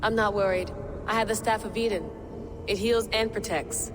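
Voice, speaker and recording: A woman's voice: medium pitch, steady tone, moderate pace, natural voice. Actress as a determined, earnest woman adventurer, noisy backdrop. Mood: resolute. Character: determined, thoughtful woman adventurer burdened by guilt. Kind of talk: naturalistic video game cutscene acting.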